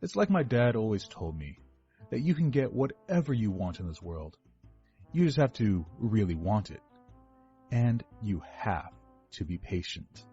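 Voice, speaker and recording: An actor playing an overweight eighteen-year-old rich kid giving a rambling, defensive first-person confession to police. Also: male voice, on the low side, sing-song, a little slow, mellow, muffled recording. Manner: rambling, self-correcting, defensive. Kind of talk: dramatic first-person monologue reading. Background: background music.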